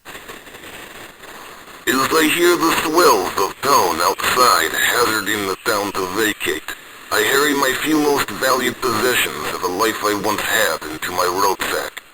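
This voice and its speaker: Low-voiced refugee guy, acted by a man, reflective, lots of background noise. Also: animated, unhurried. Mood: grave. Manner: grave, reflective. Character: weary male refugee fleeing home. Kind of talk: diary-like narration.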